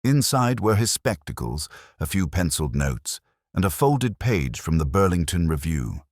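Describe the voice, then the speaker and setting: A man with an exceptionally low voice narrates a mystery as a melancholy detective. His delivery is measured and literary, yet the pitch moves expressively from phrase to phrase. The sound is husky and very close and dry. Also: sing-song, dry recording. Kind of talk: scripted first-person audio mystery narration.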